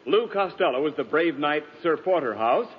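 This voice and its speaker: A stately king played by a male radio actor with a high, animated, formal delivery in a 1940s comedy broadcast. The sound is dull and noisy, with reverb. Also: normal speed, lightly acted. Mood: grand. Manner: grand, formal announcing. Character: stately, worried old-time costume-drama king. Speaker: announcer and actor.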